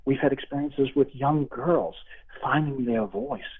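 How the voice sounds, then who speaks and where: A middle-aged man with a Boston accent talks earnestly and warmly of faith as a guest. His voice is a little husky and comes through a tinny phone line. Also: mid-range voice, expressive, measured pace. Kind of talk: interview over the phone.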